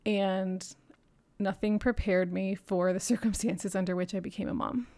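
Podcast guest, woman, 30s, gravelly voice, reflective storyteller who laughs often. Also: mid-range voice, steady tone, normal speed, mellow. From Japan and New York City. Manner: earnest, reflective, laughs often between thoughts. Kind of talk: candid personal storytelling.

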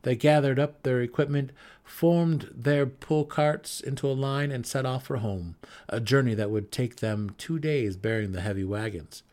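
A man's voice: mid-range voice, normal speed, a little mellow. A man reading a scripted fantasy story as a dramatic audiobook narrator.

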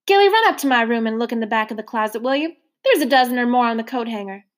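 A woman voicing a large, loud, warm-hearted older foster mother with a hearty, booming manner. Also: expressive, fast talker, lightly acted.

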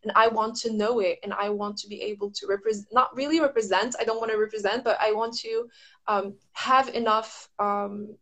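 A woman from Morocco talks casually and reflectively as an astrology practitioner, often pausing to search for the right words. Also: medium pitch, steady tone, a bit bright.

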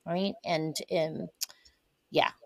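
Middle-aged woman guest, candid and emphatic, slightly low voice, dry sound. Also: very slow, creaky, dry recording, lo-fi sound. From Taiwan. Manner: earnest, candid, animated emphasis. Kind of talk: conversational interview.

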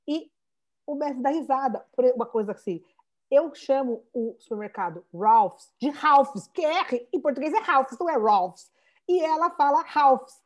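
A Brazilian-born woman in her thirties, telling stories with lots of restarts in a relaxed interview. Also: sits high, a little slow. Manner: animated storyteller, many restarts and "né". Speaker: founder of a Portuguese school for children. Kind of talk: conversational interview.

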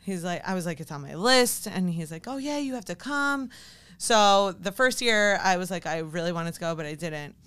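A woman's voice: fairly low pitch, expressive, moderate pace, fairly bright tone, clear, resonant tone. A woman who works as a fitness coach, talking informally and conversationally as a guest in a casual interview. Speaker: podcast guest, fitness coach and business owner.

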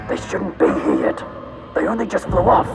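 Gravelly, high, excitable sidekick. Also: male voice, moderate pace, loud music behind the voice, heavily acted. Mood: matter-of-fact. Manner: animated, familiar. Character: Frank, excitable, chummy, gruff male sidekick fighter. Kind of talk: sci-fi audio drama acting.

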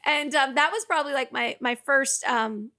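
A young woman from the Boston area with a raspy voice, chatting as a guest in a casual interview, talking loosely with many fillers and frequent laughs. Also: sits high, normal speed, sharp tone, no echo, studio quality. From Boston area, United States.

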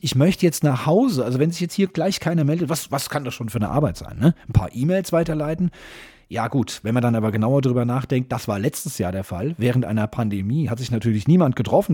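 A man's voice: medium pitch, mellow, breathy edge. A man, middle-aged, hosting a podcast as a casual, wry monologue full of grumbling asides and tag questions to his listeners. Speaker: podcast host.

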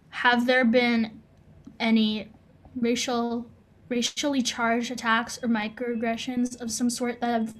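Earnest young woman co-hosting a podcast. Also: fairly high pitch. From United States. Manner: earnest, thoughtful, with frequent restarts. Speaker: podcast co-host. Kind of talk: casual podcast discussion.

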